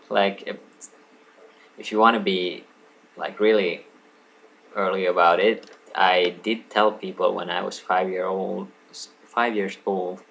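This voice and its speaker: A man's voice: low-pitched, vocal fry, fairly bright tone, rough recording. A young man in his late teens, a native Swedish speaker, rambling casually to a webcam at home, full of ums, asides and apologies. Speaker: vlogger. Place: home room lit by a lamp.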